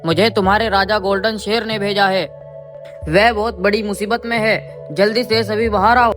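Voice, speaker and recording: A woman playing a loyal, earnest tiger in an animated jungle story. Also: medium pitch, normal speed, over music, close to an everyday voice. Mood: urgent. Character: worried, loyal tiger friend of a lion.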